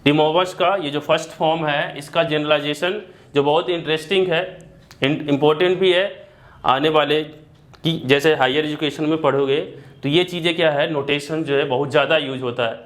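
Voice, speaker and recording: An Indian man teaching a maths lesson, mixing Hindi with slowly dictated English notation and often restarting phrases. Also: on the high side, steady tone.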